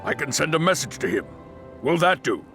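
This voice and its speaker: Gravelly, boastful warrior hunter. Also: male voice, a little high, big pitch swings, fairly quick. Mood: gruff, obliging. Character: proud, boastful male Norn warrior hunter. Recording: game cinematic with music behind.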